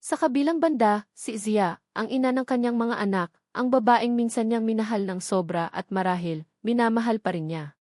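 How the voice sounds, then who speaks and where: A woman's voice: on the high side, moderate pace, darkish tone, no room sound, studio quality. A woman with a Filipino accent narrating a scripted story in a dramatic storytelling style. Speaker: audiobook narrator.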